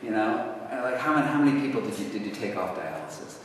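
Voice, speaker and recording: A man answering audience questions at a university talk, casual and halting, with many restarts. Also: medium pitch, lively intonation. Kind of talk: lecture Q&A. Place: university lecture hall. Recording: echoey hall from far off, faint noise.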